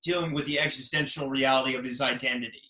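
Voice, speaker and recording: A man's voice: medium pitch, steady tone, fast, bright, forceful tone. A man hosting a podcast, asking questions eagerly and gushing over his guest. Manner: eager, effusive interviewer. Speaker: podcast host. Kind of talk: casual interview conversation. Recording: echoey room.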